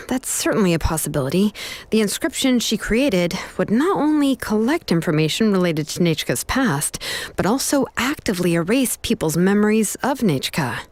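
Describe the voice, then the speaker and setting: A woman voicing a composed, kind and perceptive young woman in a video game, measured, self-assured and reassuring. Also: medium pitch, very animated. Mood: explaining.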